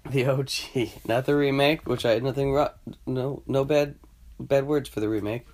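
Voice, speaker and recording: A man's voice: medium pitch, fairly even intonation, normal speed, darkish tone. A man hosting a podcast, chatting loosely and rambling after a few drinks. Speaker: podcast host. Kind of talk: casual chat.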